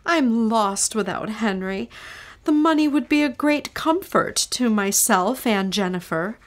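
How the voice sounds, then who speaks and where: A woman's voice: fairly high pitch, lively intonation, measured pace. A woman playing a frightened, grieving widow at a seance, anxious and dramatic, in old-time radio drama style.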